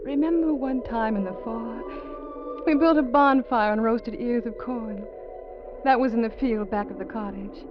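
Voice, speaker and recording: An actress playing a young wife's ghostly voice that calls to her husband softly and dreamily, in 1940s radio drama style. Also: on the high side, normal speed, close to an everyday voice. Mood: tender. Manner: soft, dreamy and beckoning. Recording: dull old radio recording over music.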